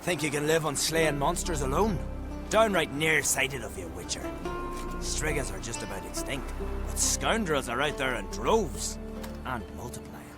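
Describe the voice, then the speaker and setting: A man's voice: medium pitch, expressive, moderate pace, over loud music, lightly acted. A man playing a cocky, sly bounty hunter in a video game, glib and self-important. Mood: boastful, persuasive. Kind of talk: video game character acting.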